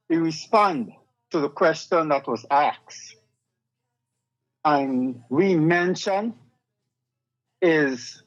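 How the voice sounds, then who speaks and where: A man in a casual political debate, firm and arguing back. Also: fairly high pitch. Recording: dull lo-fi sound with faint music.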